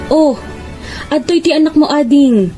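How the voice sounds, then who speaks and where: An actress with an Ilocano Filipino accent playing a kind, dutiful young elder sister in a radio drama. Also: female voice, high voice, unhurried, mellow, faint music behind. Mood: gently concerned.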